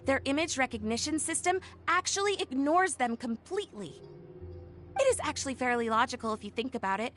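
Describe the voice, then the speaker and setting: A woman voicing an enthusiastic young female tech inventor in a video game, with an upbeat pitchman's patter. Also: expressive, brisk, fairly bright tone, soft background music. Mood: explaining. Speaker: game character.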